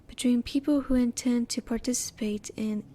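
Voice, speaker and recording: Soft, mellow alien woman, acted by a woman, formally curious. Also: a little high, steady tone, lightly acted. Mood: analytical, curious. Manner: formal, measured, inquisitive. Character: curious, emotionally reserved female alien, politely clinical. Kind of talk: intimate roleplay audio acting.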